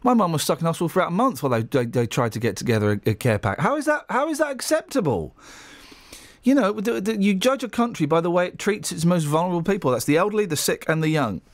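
Blunt chatty radio host. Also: male voice, high-pitched, big pitch swings, fast, dry recording. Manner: blunt and chatty, with frequent restarts. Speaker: radio presenter. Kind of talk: casual radio chat.

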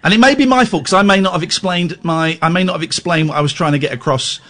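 A man's voice: high-pitched, fast talker, sharp tone. A man hosting a radio phone-in from a studio. He talks bluntly, teases his callers and interrupts them. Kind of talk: late-night phone-in chat.

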